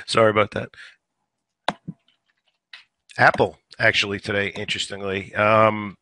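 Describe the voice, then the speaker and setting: A man hosting a stock trading show, giving live market commentary in a conversational way, a little hoarse today and repeating words as he thinks. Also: lowish voice, steady tone, slow, pauses often, sharp tone, slight background noise. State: slightly hoarse.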